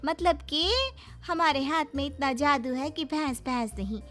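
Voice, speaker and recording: A very high, bright young bride, played by a woman, boastful and dramatic. She talks at an unhurried pace in a theatrical, chatty cartoon style. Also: faint music behind. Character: boastful, dramatic young newlywed daughter-in-law. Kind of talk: cartoon moral-story acting.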